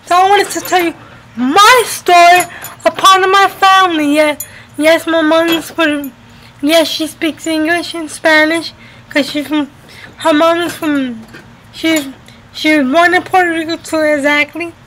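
Woman in her twenties, high-pitched, casual home vlog chat, emphatic repetition, webcam. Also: fairly even intonation, measured pace, a bit bright, a little hiss or hum, low-quality recording. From United States, New Jersey and Florida. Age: 20s. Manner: repeats phrases emphatically. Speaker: vlogger. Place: indoors at home by a window. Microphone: webcam at arm's length.

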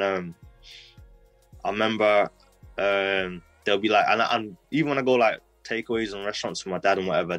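Low-voiced young man, rambling. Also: fairly bright tone, no room sound. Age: 20s. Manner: rambling, heavy use of "like" fillers, street slang. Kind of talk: casual personal storytelling.

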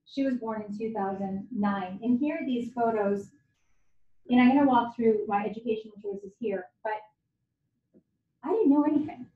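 Candid woman lecturing in an echoey hall. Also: a little high. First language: Japanese. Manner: candid, conversational, self-deprecating. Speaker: guest lecturer. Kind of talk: university lecture talk. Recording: echoey hall, dull lo-fi sound.

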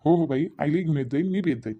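A woman voicing a gentle, concerned village father in a family drama, with a Varhadi Marathi accent and a fussing, coaxing way of repeating himself. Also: low-pitched, expressive, rapid-fire, narrow, tinny audio. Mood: coaxing, reassuring. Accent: Varhadi Marathi from Vidarbha. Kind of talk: amateur family drama acting.

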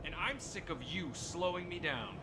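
A bitter brooding turncoat, cold delivery over loud game score. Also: male voice, high-pitched, expressive, normal speed, poor audio. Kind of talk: video game voice acting. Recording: studio voice over game music.

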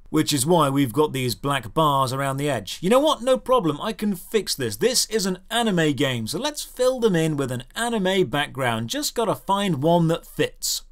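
Man, slightly high voice, very varied intonation, dry sarcasm, emphatic, scripted comic game review voice-over. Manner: dry, sardonic, emphatic delivery. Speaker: video host, game reviewer.

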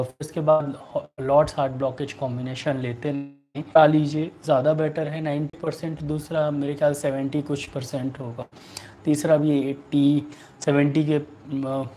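A man in his thirties with an Indian accent, a doctor answering viewer questions in a halting, repetitive way. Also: a little high, dark tone, slight background noise, rough recording. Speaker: homeopathic doctor hosting a live online clinic. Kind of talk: answering viewer questions, giving remedy advice. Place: small furnished room indoors.

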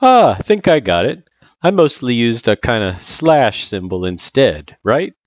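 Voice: medium pitch, big pitch swings, measured pace, no echo, lo-fi sound, pitched above the performer's own voice. A storyteller voicing a laid-back, kindly male figure who tutors in a casual, slangy and patient way. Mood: patient, thoughtful.

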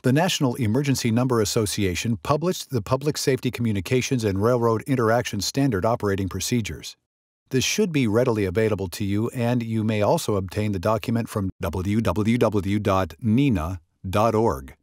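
A man reading scripted narration for a training video in a measured, instructional way. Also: fairly low pitch, a little mellow, no room sound, clean studio sound.

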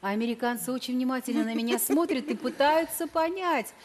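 A middle-aged woman hosting a television dating show, questioning a guest with quick, ironic teasing. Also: high voice, slight background noise. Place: large television studio.

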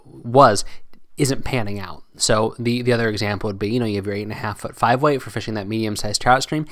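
A man's voice: medium pitch, a bit bright. A middle-aged man hosting a solo podcast, talking loosely and conversationally with frequent restarts. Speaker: podcast host.

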